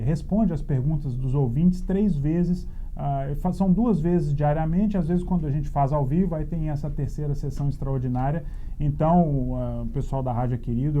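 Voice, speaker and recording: Brazilian guy explaining stuff in an interview, kinda mellow and halting, muffled noisy audio. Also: a little high. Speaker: guest immigration lawyer. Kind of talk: conversational interview answers.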